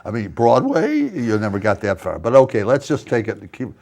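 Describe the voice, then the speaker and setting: An older man hosting a TV interview in a small studio on a lapel mic, chatty and halting, with many restarts. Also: medium pitch, wildly swooping pitch, vocal fry, darkish tone. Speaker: talk show host.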